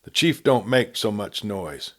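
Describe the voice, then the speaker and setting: A man's voice: fairly low pitch, sing-song, unhurried, a bit bright. A man voicing rustic, wary outlaw cowboys in an audiobook, talking in a folksy western dialect. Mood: wary, laconic. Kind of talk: audiobook character voice.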